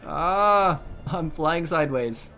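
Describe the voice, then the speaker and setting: A man's voice: a little high, animated, slow pace, bright, forceful tone. A young man giving casual, reactive playthrough commentary as a gaming streamer, full of short exclamations. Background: game music.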